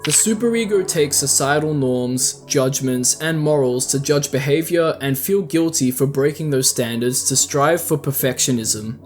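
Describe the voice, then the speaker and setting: A man's voice: medium pitch, moderate pace, hardly any pauses. A man delivering scripted video essay commentary in a conversational, opinionated way. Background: background music.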